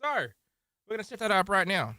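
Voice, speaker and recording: A man's voice: high voice, sing-song, brisk, noisy background. A man hosting a casual game live stream, with a theatrical, showman-like way of talking.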